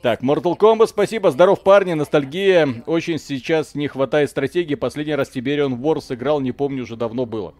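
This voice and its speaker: A man's voice: on the high side, a little fast, few pauses, darkish tone. A man hosting a live video game stream, chatting casually in slangy, joking language with mild expletives.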